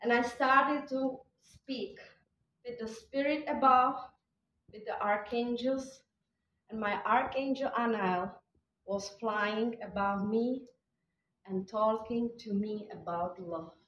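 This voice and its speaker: A woman whose first language is Czech, giving a reflective spiritual talk at a conference in slow, halting phrases with pauses. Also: a little high, a bit bright, a little hiss or hum. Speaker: conference speaker. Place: hotel conference hall. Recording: distant, dull, echoey hall recording.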